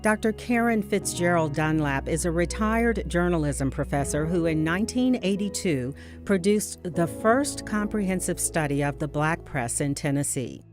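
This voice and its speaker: A woman's voice: fairly low pitch, expressive, moderate pace, hardly any pauses. A woman reading scripted documentary narration as the narrator. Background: guitar music.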